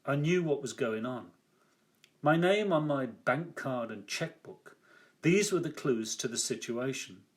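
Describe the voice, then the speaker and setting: An older man reading his own first-person story aloud like an author at a reading, theatrical and wry, with deliberate emphasis. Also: medium pitch, animated.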